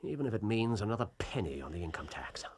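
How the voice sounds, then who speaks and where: An actor playing a shrewd middle-aged English detective in a period drama, speaking in deliberate, musing phrases with theatrical pauses. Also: male voice, fairly low pitch, sing-song, darkish tone, slight background noise. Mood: intent.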